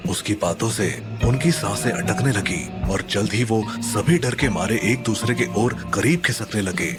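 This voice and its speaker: Deep animated male horror narrator, music behind. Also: moderate pace. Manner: dramatic, suspenseful. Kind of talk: scripted horror story narration.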